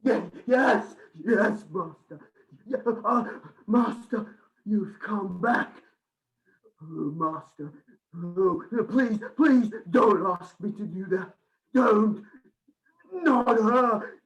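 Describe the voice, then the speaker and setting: A man's voice: sits high, extremely slow, full character voice, poor audio. An actor in a radio play voicing a frantic madman, an asylum patient who pleads agitatedly with his master. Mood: terrified pleading.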